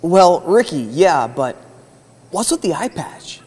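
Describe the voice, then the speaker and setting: An actor playing a goofy, earnest older brother in a comic skit, with an American accent. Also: male voice, on the high side, big pitch swings, slow, background noise. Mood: puzzled. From Wisconsin, United States.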